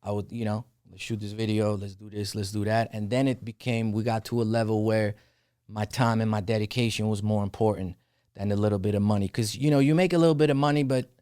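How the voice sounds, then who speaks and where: Laid-back low-voiced young man. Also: steady tone, quick pace, warm tone, clear, resonant tone, dry recording, studio quality. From Netherlands. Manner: laid-back, peppered with "you know what I'm saying". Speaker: rapper and podcast guest. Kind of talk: casual interview conversation.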